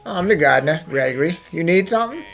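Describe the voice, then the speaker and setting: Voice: medium pitch, lively intonation, quick pace, vocal fry, soft background music. A performer voicing a brusque, grumbling male gardener in a fan dub. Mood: gruff. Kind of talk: fan dub game voice acting.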